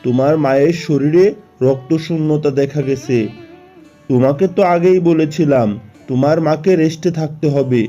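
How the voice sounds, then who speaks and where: An earnest cartoon doctor, played by a man, gives advice in a fairly high male voice. He explains things in measured words while music plays clearly behind him. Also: warm tone, lo-fi sound, natural voice. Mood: serious, advising. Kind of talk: Bengali children's cartoon dubbing.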